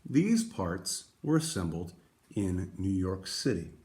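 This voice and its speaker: A man with a fairly low voice hosts a children's picture book read-aloud. He addresses young listeners directly, with a deliberate storyteller's delivery. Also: very animated, darkish tone. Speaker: read-aloud host for schoolchildren.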